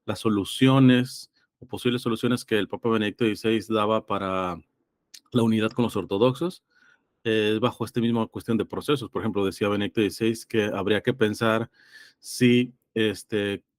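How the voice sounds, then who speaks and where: A man's voice: lowish voice, fairly even intonation, moderate pace, dry recording, studio quality. A man with a slightly congested throat, speaking hesitantly and reflectively as an interview guest in a theological conversation. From Los Angeles, California. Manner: hesitant, reflective, explains through examples and citations.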